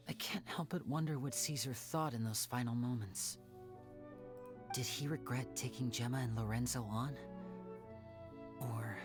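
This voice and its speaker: An actor playing a young male magician with secrets, speaking in a soft, reflective, measured game-dialogue style. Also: medium pitch, natural voice. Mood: wistful. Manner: soft-spoken, reflective, measured theatrical delivery. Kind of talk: scripted dramatic game dialogue. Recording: music playing under the voice.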